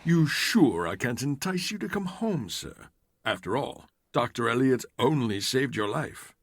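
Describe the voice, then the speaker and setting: An actor playing an elderly, deferential butler in an audio drama, formal and polite with a dry wit. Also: male voice, medium pitch, big pitch swings, unhurried, breathy edge, no room sound, exaggerated voice. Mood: drily teasing. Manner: formal, polite, drily witty.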